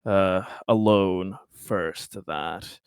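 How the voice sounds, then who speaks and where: Slow, low-voiced man playing a tabletop game. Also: smooth, dry recording, studio quality. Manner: halting, repeats words, speaks of his character in third person. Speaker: player in a tabletop roleplaying game. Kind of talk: casual in-game description.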